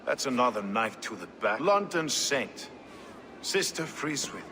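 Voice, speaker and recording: An actor playing a blunt, wry medieval fighter in a video game, with colourful turns of phrase. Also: male voice, medium pitch, big pitch swings, measured pace, background noise. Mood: wry, grave. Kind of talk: dramatic video game acting.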